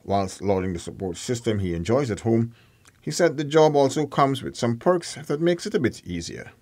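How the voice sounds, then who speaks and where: A man's voice: mid-range voice, lively intonation, moderate pace, a little mellow. A man reading scripted narration for a news feature.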